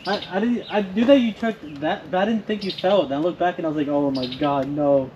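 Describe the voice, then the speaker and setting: Animated man talking outdoors, muffled. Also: high voice, brisk. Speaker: motovlogger. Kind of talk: casual chat on scene. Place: outdoors by a lake. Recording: muffled camera audio, loud background noise.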